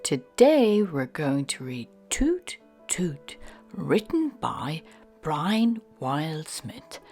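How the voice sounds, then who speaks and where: A woman presenter with a medium-pitched voice speaks slowly for a children's storytime. Her scripted delivery is lively, with faint music behind her. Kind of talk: scripted intro and sign-off.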